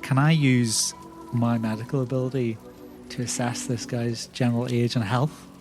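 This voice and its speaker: A man's voice: medium pitch, little pitch movement, moderate pace, music in the background. A man playing a tabletop roleplay game, describing his actions and speaking in character.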